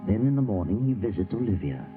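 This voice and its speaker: Actor as a sinister low-voiced radio mystery narrator. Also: male voice, lively intonation, quick pace, close to an everyday voice. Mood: ominous. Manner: ominous, mocking. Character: sinister, taunting male mystery-show narrator. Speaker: host narrator. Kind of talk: old-time radio suspense narration. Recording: muffled old radio with prominent music.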